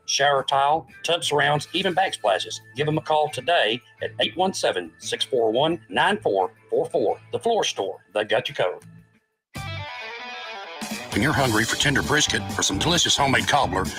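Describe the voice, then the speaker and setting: A man's voice: a bit bright, poor audio. Folksy man reading radio ads. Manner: folksy pitchman. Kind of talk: local radio commercial read.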